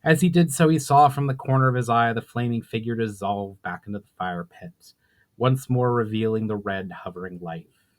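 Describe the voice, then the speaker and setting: A man's voice: on the low side, normal speed. A man narrating his own fantasy story as a dramatic reading. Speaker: author reading own book, host.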